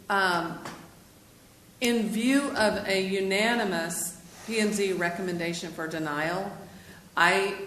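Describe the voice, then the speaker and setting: City staff lady laying out procedure firmly, loud voice, echoey council room, bit of noise. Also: medium pitch. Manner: firm, explanatory, says she has a loud voice. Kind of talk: council meeting discussion.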